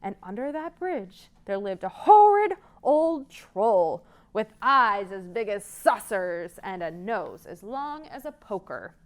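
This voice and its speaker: A woman's voice: medium pitch, big pitch swings, slow. A woman hosting a children's storytime, telling stories interactively and coaching young listeners along with questions. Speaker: children's librarian, storytime host.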